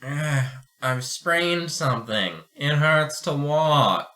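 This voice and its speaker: A man's voice: fairly high pitch, animated, takes it slowly, sharp tone, exaggerated voice. A man playing a demanding, anxious alien merchant who complains insistently in an amateur sci-fi radio play. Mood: pained, pleading.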